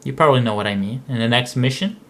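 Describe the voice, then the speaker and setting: A man's voice: medium pitch, quick pace, a bit bright. A man giving casual, rambling, explanatory gameplay commentary on a video game. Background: game music and aircraft sounds.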